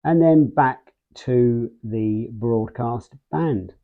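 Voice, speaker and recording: A man's voice: medium pitch, very slow. Man reviewing gadgets, thin phone-like sound. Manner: explanatory, step by step. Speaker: host of a radio gear review channel. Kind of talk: casual product review and demonstration.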